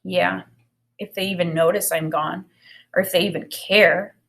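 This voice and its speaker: A woman's voice: lowish voice, steady tone, normal speed, fairly bright tone, pitched below the performer's own voice. A woman voicing a frightened, sad young boy who feels neglected, speaking in a plaintive, whiny way for a children's story. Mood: bitter.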